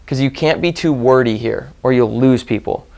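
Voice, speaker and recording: Male sales trainer, mid-pitched, instructional delivery with demonstrated call lines, restates points for emphasis. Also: moderate pace, gravelly, darkish tone. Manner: repeats and rephrases for emphasis.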